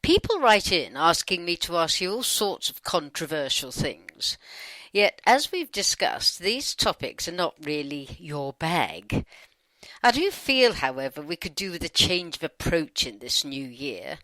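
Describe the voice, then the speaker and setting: A woman speaks in a bright voice that swings expressively up and down. She is wry and informal as she reads her questions in a channelled dialogue. The recording is close and dry. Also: sits low, very animated, measured pace, dry recording, poor audio. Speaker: channeller putting questions to spirit beings. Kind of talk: conversational reading of a channelled dialogue.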